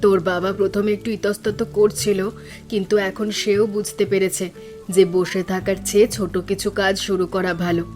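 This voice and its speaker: A woman voicing a resolute, hopeful poor village mother in an animated cartoon story, earnest and encouraging. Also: mid-range voice, fairly quick, soft background music, natural voice.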